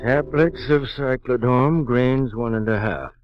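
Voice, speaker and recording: An actor playing a polite, precise pharmacist in a 1940s radio drama. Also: male voice, medium pitch, measured pace, loud music behind the voice, natural voice. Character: polite, fussy male pharmacist. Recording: dull old radio transcription.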